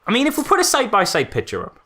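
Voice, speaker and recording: Bright-voiced, animated man chatting. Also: very high voice, very fast. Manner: exasperated, sarcastic. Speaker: podcast co-host. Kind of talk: casual film critique chat.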